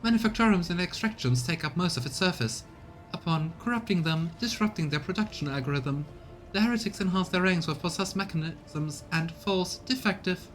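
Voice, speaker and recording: A man voicing a cold cyborg tech-priest in a video game, with formal, clinical, mechanical phrasing. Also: fairly bright tone. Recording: rumbly background noise.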